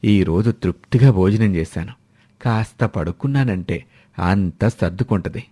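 A man voicing a hungry, mild old village husband in an animated moral story. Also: fairly low pitch, unhurried, no room sound. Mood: contented. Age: older.

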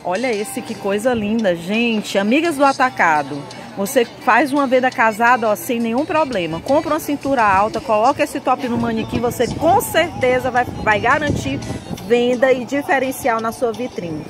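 High-voiced Northeastern Brazilian woman, market vlogger. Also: lively intonation, normal speed, over music. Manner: chatty, addresses viewers as friends. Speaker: shopping vlog host. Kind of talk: enthusiastic sales-style vlog presenting. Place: indoor market lingerie stall.

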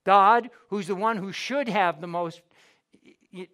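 High-voiced man preaching emphatically. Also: slow pace, fairly bright tone. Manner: emphatic preaching, rising and falling in volume, repeats words. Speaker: pastor. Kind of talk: sermon. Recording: audio of a church sermon.

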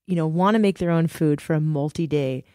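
Female podcast host, lowish voice, dry close sound, upbeat and curious. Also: brisk, warm tone, dry recording. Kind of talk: interview questions.

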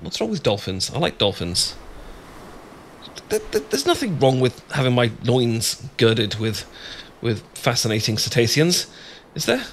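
A man's voice: mid-range voice, sing-song, a little slow, slight background noise. A man with a British accent acting an awkward, easily flustered, bashful friend in a romantic ASMR audio roleplay, stammering and rambling as he goes. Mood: bashful, defensive.

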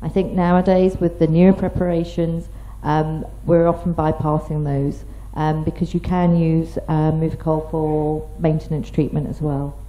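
A woman explaining treatment as a doctor on a medical panel, in a measured way. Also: deep voice, level tone. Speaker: paediatrician panelist. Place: conference hall, seated at panel table. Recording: dull conference microphone recording.

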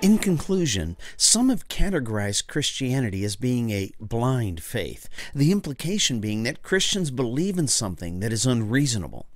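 A man reading scripted narration as a series presenter in a measured, earnest, articulate manner. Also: mid-range voice, very animated.

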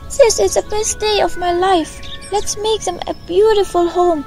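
A woman playing an earnest, excitable little girl in a children's audio story, full of questions. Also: extremely high pitch, unhurried, faint music behind. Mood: overjoyed. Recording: clear background noise with birdsong. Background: birdsong.

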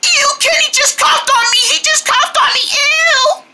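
A woman's voice: very high voice, fairly even intonation, moderate pace, bright, forceful tone, exaggerated voice. A woman voicing a squeamish young girl goat who squeals dramatically in a playful story. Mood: disgusted panic.

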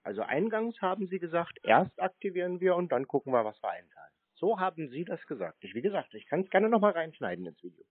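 A man's voice: fairly high pitch, big pitch swings, quick pace, hardly any pauses, husky, dry recording. A man bantering casually on a call, nonchalant, stubborn and teasing, as he strings a caller along. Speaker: prankster taking scam calls. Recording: narrow phone-like sound.

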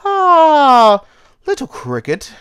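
A man's voice: huge pitch swings, drawn-out words, exaggerated voice. A man doing a sleepy, kindly old caterpillar. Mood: gentle. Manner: sleepy, gentle, rumbling.

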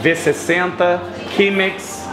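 A man's voice: high-pitched, fairly even intonation, slow pace, over music. A man in his thirties with a Brazilian accent, enthusiastically chatting through a travel vlog, filmed on a handheld camera at arm's length outdoors. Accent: Brazilian Portuguese. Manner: enthusiastic, chatty, lists things off. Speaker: travel vlogger. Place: city sidewalk and café terrace outdoors. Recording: street noise behind.

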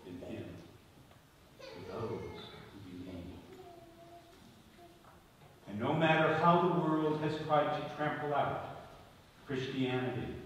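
A man preaching a homily as a bishop, solemn and deliberate, speaking phrase by phrase with emphatic stresses in a large church. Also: mid-range voice. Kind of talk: sermon. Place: large stone-walled church, at the ambo before a congregation. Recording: very echoey church, distant mic, faint noise.

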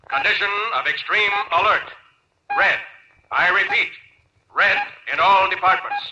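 A man's voice: sits high, sharp tone. An actor playing a stern ship's officer making a formal, emphatic address to his crew in a 1950s radio drama. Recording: dull, noisy 1950s radio recording.

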